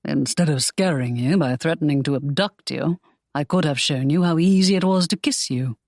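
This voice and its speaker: A woman voicing a protective young male romance hero in an audiobook, speaking calmly and firmly in a lowered voice. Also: dry recording, clean studio sound. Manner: calm, firm, low and measured.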